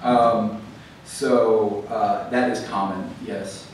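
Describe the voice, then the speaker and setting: A man's voice: on the low side, steady tone, extremely slow. A man, an author at a library event, answering audience questions in a thoughtful, explanatory way with frequent fillers and restarts. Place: library event hall, recorded from the room. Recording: echoey hall, far from the mic, audible room noise.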